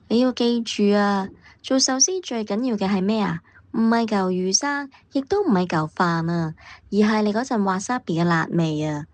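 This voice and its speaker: A woman acts a senior female colleague in a Cantonese radio play from Hong Kong. She advises firmly in a medium-pitched voice. The recording is dry, with no room around it. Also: no room sound, lightly acted. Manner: firm, instructive. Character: seasoned senior female colleague giving firm advice. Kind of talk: workplace audio drama acting.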